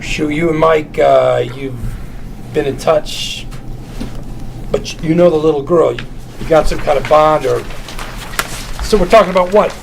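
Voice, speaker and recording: A man's voice: fairly high pitch, measured pace, creaky, long pauses. A man playing a glib, nervous lawyer with wheedling, stammering patter at a script table read. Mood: uneasy. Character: glib, nervous, fast-talking male lawyer. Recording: noisy room ambience.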